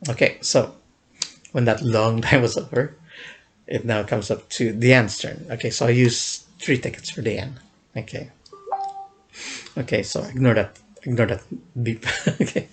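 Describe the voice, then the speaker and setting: A man's voice: medium pitch, fairly even intonation, measured pace, long pauses. A young man casually recounting a fan event in a vlog, rambling with many fillers and restarts, often chuckling. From United States.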